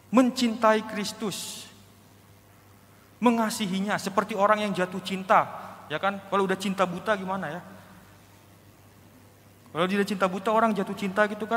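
Young man, deacon, high voice, conversational homily, rhetorical questions, church reverb. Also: sharp tone. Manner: conversational preaching with rhetorical questions and slang asides. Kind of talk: Sunday homily. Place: large church, at the pulpit. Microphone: pulpit microphone.

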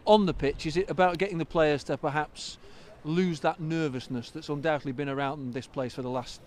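A man, a sports interviewer, asking brisk, upbeat questions pitchside after a match. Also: fairly even intonation, a little mellow. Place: outdoors pitchside.

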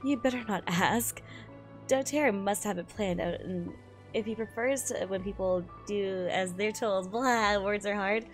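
A woman streamer reading a manga aloud in an expressive, giggly way, with excited reactions in between. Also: medium pitch, measured pace. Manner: expressive, giggly, switches into character voices. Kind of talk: live reaction read-aloud with excited asides. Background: music behind the voice.

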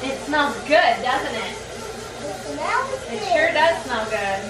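A woman chats while baking in a home kitchen, her voice high and bouncing all over. She talks in a bubbly, sing-song way. She stands away from the camera, and the kitchen makes her sound roomy. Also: lively intonation, slow, distant mic, crowd noise. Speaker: vlogger's sister, baking. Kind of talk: casual chat while baking.

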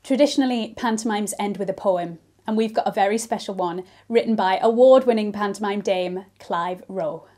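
A woman's voice: medium pitch, normal speed, hardly any pauses, mellow. A young woman delivering a scripted introduction to camera as a presenter. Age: young adult. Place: bright living room.

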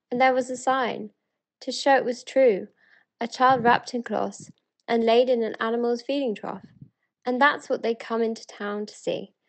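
Eager, high-voiced British townswoman acted by a woman. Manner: earnest, excited, pleading. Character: eager, wonder-struck townswoman of ancient Bethlehem. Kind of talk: dramatic spoken-word verse reading.

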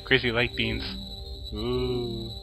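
A man's voice: medium pitch, completely flat delivery, slow pace, over music. A man hosting a let's play, giving casual, rambling, jokey gameplay commentary.

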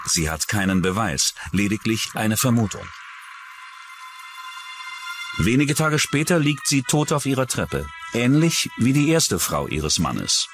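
A man's voice: lowish voice, big pitch swings, a little slow, hardly any pauses, a bit bright. A man narrating a scripted true-crime documentary as its narrator. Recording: music bed under the voice. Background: music.

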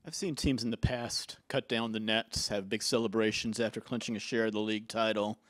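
A man asking measured questions as a reporter at a postgame press conference. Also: fairly low pitch, little pitch movement, no room sound.

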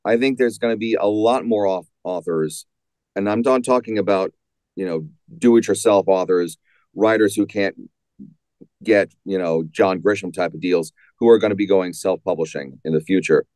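A man speaking as an author guest in an interview, conversational and emphatic, with deliberate phrasing. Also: sits low, a little mellow, dry recording.

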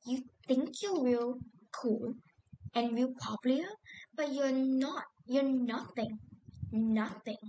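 Bully animal girl for a cartoon, acted by a woman: high, condescending, domineering, drawling with confidence. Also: slow pace, mellow, rough recording. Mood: smug, scornful. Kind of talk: character audition lines.

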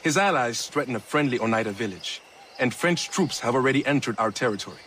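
A man's voice: medium pitch, animated, quick pace, a bit bright, slight background noise, lightly acted, poor audio. An actor playing an urgent military man who briefs comrades formally in a video game drama.

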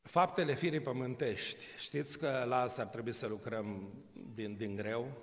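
Older guy preaching at a podium mic, gravelly voice, big church echo, keeps asking the crowd stuff. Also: mid-range voice, steady tone. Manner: conversational preaching with rhetorical questions and humor. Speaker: pastor. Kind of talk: sermon. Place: large church hall. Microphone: podium microphone. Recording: long church reverb.

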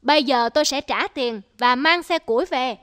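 A woman voicing a greedy, scheming rich old man in an animated folk tale, smug and wheedling. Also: high voice, moderate pace.

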